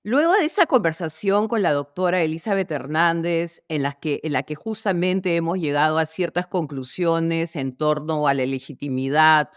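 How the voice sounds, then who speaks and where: A woman hosting a history interview, speaking off the cuff in a measured, formal, academic way. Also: low voice, dry recording, clean studio sound. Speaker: host. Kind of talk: history interview hosting, unscripted closing remarks.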